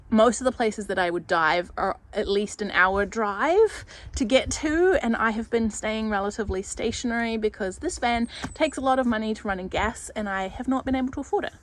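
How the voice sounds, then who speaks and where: A woman vlogging in a casual, chatty way, her voice nasal and stuffed up from a cold. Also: mid-range voice. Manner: chatty, savoring, thinking aloud. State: congested with a cold. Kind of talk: casual vlog chat.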